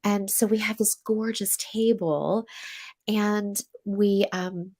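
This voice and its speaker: A woman's voice: medium pitch, steady tone, slow pace, a little mellow. An American woman with a stuffy nose from a cold, chatting as an interview guest and telling a long story full of fillers and restarts. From USA. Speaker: podcast guest, coach.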